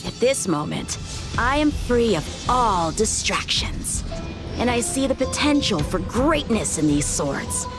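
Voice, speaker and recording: A woman playing a proud, overconfident teenage boy swordsman in a video game, speaking in a measured, self-assured way. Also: on the high side, very animated, over music. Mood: confident. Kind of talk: video game cutscene acting.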